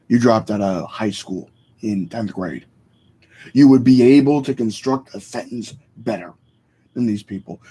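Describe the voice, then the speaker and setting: A man's voice: medium pitch, dark tone, slight background noise, low-quality recording. A man vlogging in a casual, rambling rant, opinionated and often correcting himself mid-sentence.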